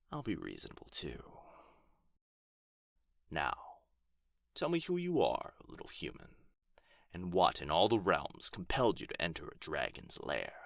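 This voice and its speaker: A man playing an irritable, proud dragon guarding his hoard in an ASMR fantasy roleplay, with a theatrical, haughty, breathy delivery. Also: deep voice, fairly bright tone, no echo, studio quality, full character voice. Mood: haughty, calmer.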